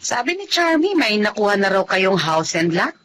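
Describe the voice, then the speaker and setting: Motherly, mid-pitched middle-aged woman. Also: big pitch swings, normal speed, a bit bright. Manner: motherly, directing. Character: homely middle-aged mother-in-law, mildly bossy, attached. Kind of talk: Filipino radio drama acting. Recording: light background noise.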